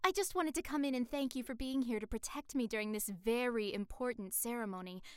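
A woman's voice: a little high, brisk, clear, resonant tone, no room sound, studio quality, lightly acted. A woman playing a gracious, poised young princess-to-be in a comedic sci-fi audio drama, with polite, theatrical delivery.

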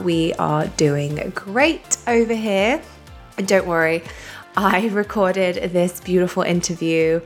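A British woman hosting a podcast in an effusive, gushing manner, a little sniffly from a cold. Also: on the low side. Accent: British English. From England. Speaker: podcast host. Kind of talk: casual interview chat.

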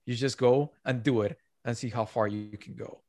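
A man's voice: mid-range voice, fairly even intonation, normal speed, darkish tone, no room sound, studio quality. A man who is not a native English speaker, talking earnestly as an author guest on a podcast and repeating his key points. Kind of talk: podcast interview.

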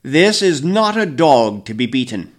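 A man voicing a stern old village elder passing judgment, booming and slow. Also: big pitch swings. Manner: booming, commanding, slow proclamations.

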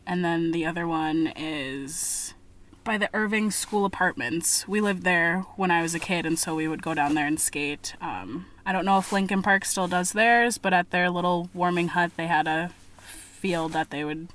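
Low-voiced woman recalling casually. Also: moderate pace. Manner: relaxed, hesitant, with ums and restarts. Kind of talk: casual chat.

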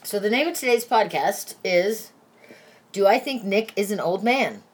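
A woman in her forties hosting a casual podcast, chatty and quick to laugh, with a slightly irritated edge. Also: mid-range voice, lively intonation. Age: 40s. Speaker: podcast host.

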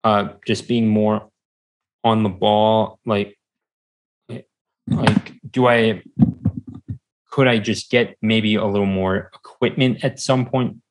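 A man's voice: on the low side, fairly even intonation, takes it slowly, long pauses, rough recording. A man chatting casually as a podcast guest, hesitant and rambling, often repeating himself, on a podcast microphone. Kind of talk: casual interview chat. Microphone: standard podcast microphone.

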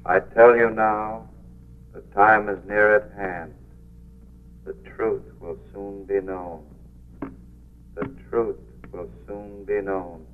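A ghost intones from beyond the grave in a low, very slow, solemn chant. It is 1940s radio drama, heard as a record through a tinny, narrow old broadcast. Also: male voice, little pitch movement, a little hiss or hum. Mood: ominous, solemn. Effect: played as a phonograph record.

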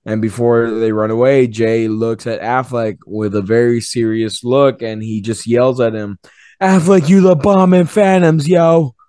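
A man hosting a podcast, chatting casually about movies and swearing now and then, his voice hoarse and partly gone. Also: medium pitch, a little mellow, dry recording, clean studio sound. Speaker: podcast host. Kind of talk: casual movie review chat.

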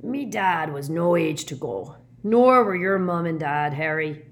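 A woman's voice: lowish voice, expressive, measured pace. A woman voicing a huge, drunk, weepy man with rustic speech in a storybook. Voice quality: loud, grunting. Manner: maudlin, rustic dialect.

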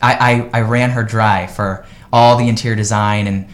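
A man's voice: on the low side, steady tone, moderate pace. A man from the United States, a real estate agent guesting on a live radio interview, talking in an upbeat, enthusiastic way.

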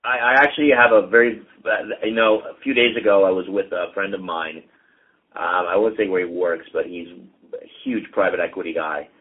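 Deep-voiced man on a tinny line. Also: normal speed, breathy edge. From Canada. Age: middle-aged. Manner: relaxed, many ums and uhs. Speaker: guest, real estate executive. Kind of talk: casual interview conversation.

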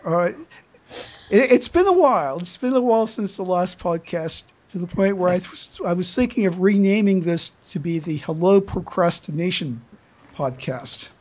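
Halting, stammering podcast host. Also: mid-range voice, expressive, a little mellow. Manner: halting, stammering delivery with repeated words. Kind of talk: casual news chat on a fan podcast.

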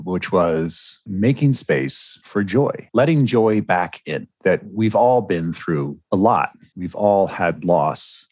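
A man co-hosting a podcast as a coach, explaining ideas in a thoughtful, measured way. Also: on the low side, dry recording, clean studio sound. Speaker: podcast co-host and ADHD coach. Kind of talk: reflective podcast talk.

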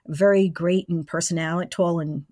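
A woman in her sixties telling casual anecdotes as an interview guest, with an animated storytelling manner. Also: lowish voice, normal speed, no room sound, studio quality. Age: 60s. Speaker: interview guest, former college radio engineer.

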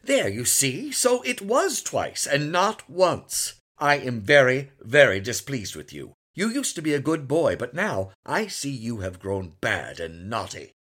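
A man's voice: on the low side, wildly swooping pitch, slow pace, few pauses, fairly bright tone, exaggerated voice. A man reading the part of a stern lawyer father who scolds his son emphatically, in an audiobook. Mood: lecturing.

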